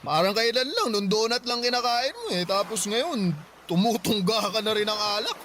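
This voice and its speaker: A man playing a drunk, heartbroken middle-aged uncle who muses slowly and weightily in a Filipino radio drama. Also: high-pitched, big pitch swings, a bit bright, slight background noise. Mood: tipsy, easygoing.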